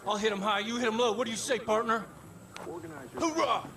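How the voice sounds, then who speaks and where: An actor playing a foul-mouthed, excitable rural pilot and husband with an American accent in a video game cutscene. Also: male voice, high-pitched, a little fast. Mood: riled, determined. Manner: folksy, exclamatory, swearing.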